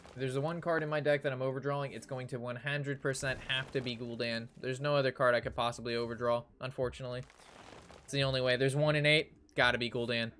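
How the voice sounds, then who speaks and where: A man's voice: medium pitch, steady tone, fast talker, fairly bright tone, slight background noise. Exasperated, foul-mouthed young male streamer. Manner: profane, exasperated, repeats phrases. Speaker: game streamer. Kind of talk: casual ranting storytelling to stream chat during card game.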